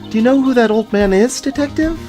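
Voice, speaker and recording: A woman voicing an excitable, curious teenage girl sidekick in a voiced video game let's play. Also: on the high side, quick pace, lightly acted. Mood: suspicious. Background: game music.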